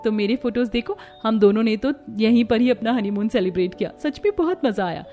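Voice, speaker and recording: A woman voicing a gentle, trusting young wife and elder sister in an animated family drama. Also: on the high side, fairly quick, faint music behind, lightly acted. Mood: cheerful.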